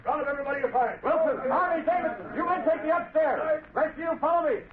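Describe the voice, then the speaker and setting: An actor playing a brisk, barking police officer giving urgent orders in a 1930s radio drama. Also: male voice, very high-pitched, reverberant, crowd noise. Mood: urgent, commanding. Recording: tinny, very noisy 1930s radio broadcast.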